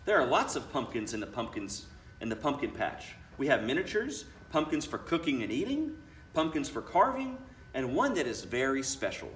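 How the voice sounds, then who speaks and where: A man's voice: mid-range voice, very animated, normal speed, a bit bright. A man voicing a friendly woman pumpkin farmer who explains things emphatically in a children's picture book read-aloud. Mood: cheerfully instructive. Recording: roomy, distant mic, faint noise.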